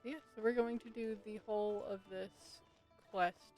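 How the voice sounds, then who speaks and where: A woman's voice: medium pitch, fairly even intonation, normal speed, darkish tone. A woman commentating casually on her live gameplay, muttering and thinking aloud to herself. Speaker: game streamer. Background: video game music.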